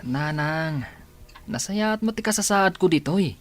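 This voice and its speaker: A man's voice: high voice, unhurried, faint music behind. An actor with a Filipino accent playing a stubborn young male porter in a radio drama. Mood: defiant.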